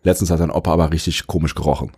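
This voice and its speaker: A man's voice: rumbling low, fairly even intonation, rapid-fire, a little mellow, husky. A man co-hosting a podcast in casual chat, often losing his thread and laughing. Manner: rambling, loses track mid-sentence, laughs often. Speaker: podcast co-host.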